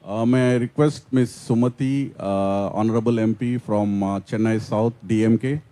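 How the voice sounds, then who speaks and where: A male moderator with an Indian accent and a fairly low, dark voice. He introduces speakers formally and politely, with a halting delivery. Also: steady tone.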